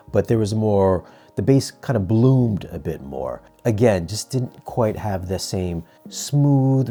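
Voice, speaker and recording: A man presenting a product review in a conversational, measured, explanatory way. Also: fairly low pitch, dark tone. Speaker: audio gear reviewer and presenter. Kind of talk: product review talk to camera.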